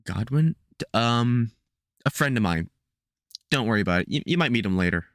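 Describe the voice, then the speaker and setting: A man playing a gruff, protective veteran vampire hunter in an audio roleplay, talking hesitantly and with light sarcasm to the listener. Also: mid-range voice, expressive, normal speed, fairly bright tone, no echo, studio quality. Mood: puzzled, wary.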